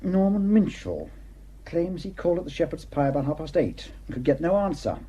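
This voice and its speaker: Police superintendent, radio drama, husky, lively pitch swings, insinuating, sardonic, unyielding. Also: male voice. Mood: probing, insistent. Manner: deliberate, insinuating questioning.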